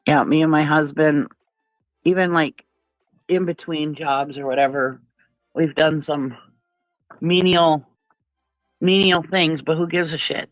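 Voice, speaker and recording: A woman's voice: low-pitched, fairly even intonation, measured pace, pauses often, no room sound, faint music behind, rough recording. A woman with a stuffy nose from a cold, hosting a casual livestream and chatting bluntly with viewers, often laughing and swearing. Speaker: livestream commentary host.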